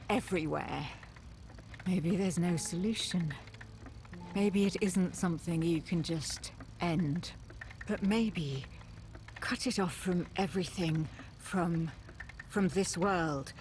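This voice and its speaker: A haunted woman in a horror video game. Her voice is a little low and unhurried, hushed and halting with dread yet determined. Clear background noise sits behind her. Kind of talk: dramatic video game acting with introspective monologue.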